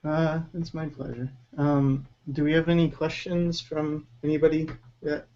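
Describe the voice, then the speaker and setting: A man who is a guest on an art livestream, chatting casually with brief, low-key, dry remarks. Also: little pitch movement, unhurried, dull audio. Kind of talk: casual chat.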